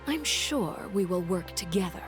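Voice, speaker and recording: Woman playing a low-voiced, calculating spymaster villainess. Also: animated, lightly acted. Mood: cool, calculating. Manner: measured, formal, coolly polite. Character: composed, calculating adult female intelligence agent and villainess. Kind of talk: video game voice acting. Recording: music behind the voice.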